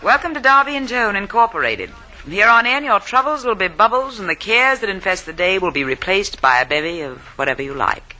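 An actress playing a flirtatious femme fatale, coy and theatrical, in a vintage radio drama. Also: female voice, medium pitch, lively intonation, fairly quick, hardly any pauses, bright, forceful tone, low-quality recording. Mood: coy, gushing. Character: flirtatious, sultry femme fatale running a lonely hearts club. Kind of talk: 1950s radio drama acting.

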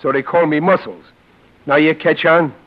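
An actor playing a tough, dim, touchy Brooklyn lug in a 1940s radio drama, slangy and wisecracking. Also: male voice, fairly high pitch, fairly even intonation, normal speed, close to an everyday voice. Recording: muffled old radio recording.

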